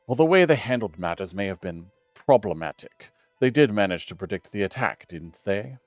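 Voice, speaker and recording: A man voicing a measured, argumentative male council official, formal and reasoning, in a political story game. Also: lowish voice, animated, no echo. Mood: measured objection. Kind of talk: dramatic reading of story game dialogue. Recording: dull lo-fi audio with music behind.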